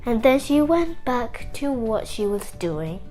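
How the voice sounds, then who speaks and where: A woman with a high, lively voice tells a story for children over music. She takes it slowly, with big swings of intonation, and chats directly to her young listeners. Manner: animated, chatty, asks the listener questions. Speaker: children's story show host.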